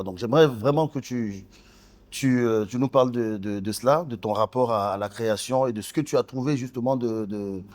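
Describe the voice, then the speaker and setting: A man hosting an interview before an audience of students, speaking formally in a deliberate, halting way. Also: mid-range voice. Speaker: host.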